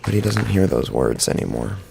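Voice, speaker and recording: Very deep, gravelly male narrator. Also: very animated, fairly quick, noisy background. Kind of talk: scripted horror story narration. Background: faint music.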